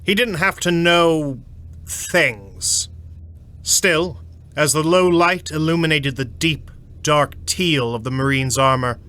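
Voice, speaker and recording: A man narrating a scripted sci-fi audio drama in a measured, suspenseful, slightly wry storytelling manner. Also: fairly high pitch, pushed and bright.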